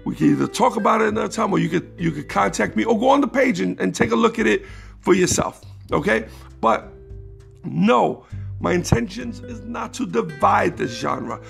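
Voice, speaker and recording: A man hosting his own podcast in a casual, rambling monologue, his voice raspy, with many restarts that turn emphatic. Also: high voice. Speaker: podcast host.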